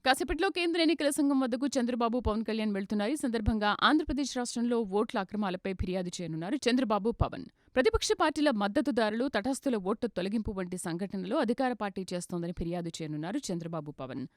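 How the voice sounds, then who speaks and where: A woman's voice: on the high side, lively intonation, fast, dry recording, clean studio sound. A woman reads a scripted news bulletin as a news reader in a formal manner.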